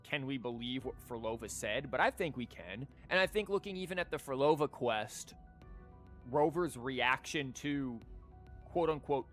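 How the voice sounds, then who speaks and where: Guy streaming a game, rambly n sarcastic, just chatting, mid voice, bit of music behind. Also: mid-range voice. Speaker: game livestreamer. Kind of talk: casual livestream commentary and lore chat.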